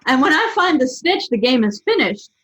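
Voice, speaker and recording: A woman voicing a flustered teenage boy wizard who squeaks and speaks eagerly. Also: very animated, normal speed, a bit bright, dull audio.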